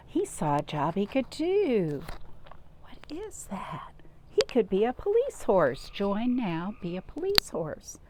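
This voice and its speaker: A woman reading a picture book aloud to young children, expressive, with dramatic gasps and questions to her listeners. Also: mid-range voice, slow, a little hiss or hum. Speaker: storytime reader.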